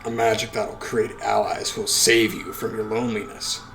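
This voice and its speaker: A man voicing a young girl witch who speaks in a soft, coaxing way. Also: on the low side, flat delivery, moderate pace, creaky, a little hiss or hum, voice pushed down.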